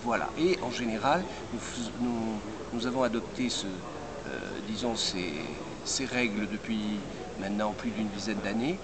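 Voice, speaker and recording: An older man heading a recruitment firm, answering interview questions in a measured way with many hesitations and restarts. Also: fairly low pitch, a crowd in the background, poor audio. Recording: loud background noise.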